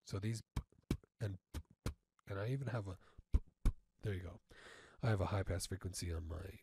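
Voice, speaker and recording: A man informally instructing step by step in a software tutorial, halting and often checking in, on a close mic. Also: low voice, creaky, background noise. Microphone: close studio mic through audio interface.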